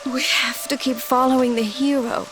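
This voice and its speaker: Refugee woman, played by an actress: high, earnest, hopeful game dialogue against noisy rain. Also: level tone, normal speed, natural voice. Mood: hopeful, urging. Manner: earnest, urging.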